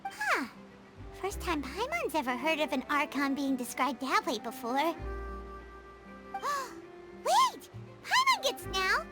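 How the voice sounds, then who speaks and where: A woman voicing a small, curious, excitable fairy companion in a video game, with a squeaky childlike voice. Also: takes it slowly, long pauses, full character voice. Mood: curious, surprised. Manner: refers to herself in third person. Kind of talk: animated game dialogue. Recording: loud music behind.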